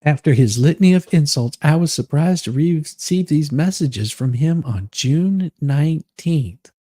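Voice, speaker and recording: Guy doing a wronged self-righteous woman, husky and mellow, indignant dramatic reading, super dry sound. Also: fairly high pitch, expressive, measured pace, no echo. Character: aggrieved, self-righteous woman reading her written account.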